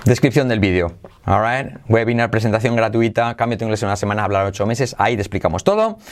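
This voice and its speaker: A man leads a lively pronunciation lesson. He says phrases slowly, repeats them again and again, and throws in playful asides between drills. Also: on the low side. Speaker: English teacher.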